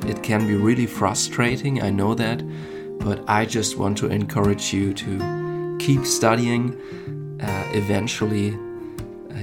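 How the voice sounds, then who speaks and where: A man from Germany hosting a podcast, reading a lesson for learners in slow, deliberate, clearly spaced phrases. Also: on the low side. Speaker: podcast host teaching German.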